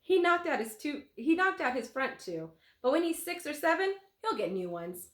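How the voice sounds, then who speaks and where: A woman's voice: high-pitched, sing-song, normal speed, pitched above the performer's own voice. A woman voicing an easygoing young schoolboy in a children's storybook, breezy and matter-of-fact. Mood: explaining. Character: easygoing young schoolboy, reassuring older brother. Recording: roomy, echoing room sound.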